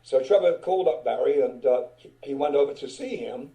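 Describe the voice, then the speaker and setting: Older male guest, loud, rambling anecdotes, deep dark timbre, echoey room. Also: brisk. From England. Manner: loud-voiced, rambling, many "you know" asides. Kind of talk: casual storytelling interview. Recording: roomy echo.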